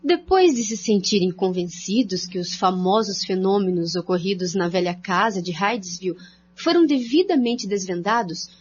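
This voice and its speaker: A Brazilian woman reads scripted radio drama narration with animated, swinging intonation. The recording is somewhat dull, with a faint hum behind her. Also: mid-range voice, moderate pace.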